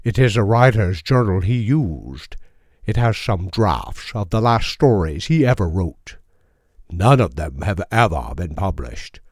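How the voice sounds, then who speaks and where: A man voicing a gaunt, courteous old bookstore owner with a strong, crisp voice and a hard-to-place accent, speaking slowly and formally. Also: sits low, sing-song, a little mellow, dry recording, clean studio sound, full character voice. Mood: courteous, eerie. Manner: slow, measured, formally polite.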